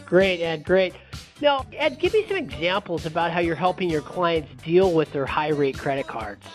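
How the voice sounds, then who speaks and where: A man's voice: a little high, animated, normal speed, soft background music. A man hosting a promotional conference call interview, prompting his guest with short approving reactions and questions.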